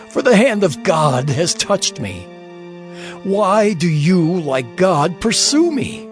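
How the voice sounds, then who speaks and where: An actor playing an afflicted, anguished man who laments and pleads against injustice in a dramatized scripture reading. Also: mid-range voice. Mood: desperate pleading. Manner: theatrical, impassioned, lamenting. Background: music.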